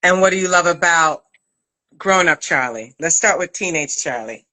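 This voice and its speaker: A woman hosting a casual chat as interviewer and acting teacher, speaking in an expressive, emphatic, slangy way. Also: on the low side, normal speed, low-quality recording.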